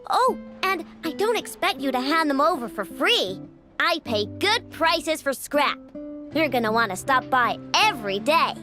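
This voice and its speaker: A woman's voice: high voice, big pitch swings, a little slow, bright, forceful tone, soft background music, exaggerated voice. A woman voicing a cheerful young girl tinkerer in a video game, breezy, informal and encouraging. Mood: breezy, friendly.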